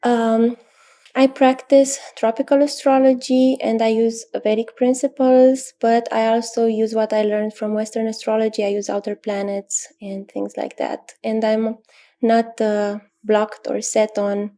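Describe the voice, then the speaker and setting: A woman in her thirties from Romania with a Romanian accent, an astrologer answering interview questions thoughtfully, with pauses and fillers. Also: on the high side, flat delivery. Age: 30s. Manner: thoughtful, many pauses and fillers, soft laughs. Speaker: astrologer guest.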